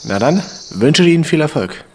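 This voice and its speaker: Lively-pitched policeman. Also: a little fast, slight background noise. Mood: matter-of-fact. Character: plain-spoken, matter-of-fact police officer. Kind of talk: audio drama acting.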